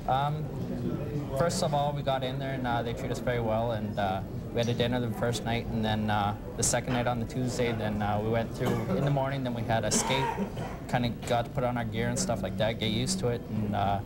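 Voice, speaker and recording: Young guy athlete in an interview, lots of uhs, kinda understated, mid pitch, loud noise behind. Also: fairly even intonation. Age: young adult. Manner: halting, many uhs, understated. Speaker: hockey player being interviewed. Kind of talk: casual sports interview answers. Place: sports grill, indoors, at a table. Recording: loud background noise, dated TV sound. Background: loud ambient noise.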